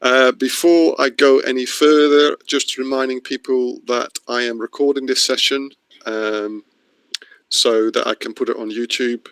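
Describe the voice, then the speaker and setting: A middle-aged man from the Netherlands introduces an online training course. His delivery is informal and slightly halting, at a medium pitch, recorded dry with no room sound. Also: flat delivery, fairly bright tone, clean tone, dry recording. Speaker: course presenter. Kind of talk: webinar introduction, spoken off the cuff. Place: online video meeting.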